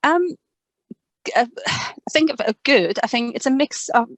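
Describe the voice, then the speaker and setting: A woman in her forties with a Polish accent, talking reflectively and casually, often halting and repeating words. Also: high-pitched, expressive, no room sound. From Poland. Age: 40s. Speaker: interview guest, speech and language therapist.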